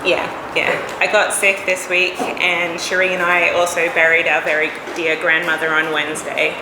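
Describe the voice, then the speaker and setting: Hoarse woman preaching in an echoey church. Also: lowish voice, normal speed. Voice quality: coughs now and then. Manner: relaxed, conversational, chatty asides. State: hoarse, has lost her voice. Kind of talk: casual sermon. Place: church hall. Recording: echoey church hall, air conditioning hum.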